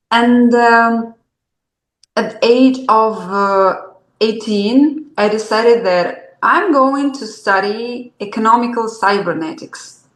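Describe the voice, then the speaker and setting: Woman, unhurried reflective guest, roomy echoey sound. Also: on the high side. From Armenia. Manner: slow, thoughtful, hesitant with many fillers. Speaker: guest artist. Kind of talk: interview answers. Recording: roomy echo.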